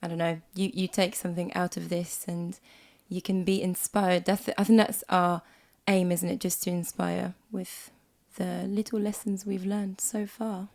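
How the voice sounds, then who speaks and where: A woman in her twenties from Switzerland with a slight Swiss accent, co-hosting a casual podcast chat at home, a little croaky from a cold and quick to laugh. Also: lowish voice, level tone. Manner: chatty, warm, laughs often. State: hoarse from a cold. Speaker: podcast co-host. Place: small music room at home.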